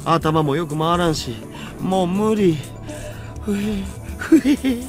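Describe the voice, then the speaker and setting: A man's voice: sits high, lively intonation, a little slow, over music. A man voicing a geeky, easily exasperated young male hacker in a Japanese visual novel, with theatrical slangy speech. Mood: worn out. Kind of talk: anime-style game voice acting.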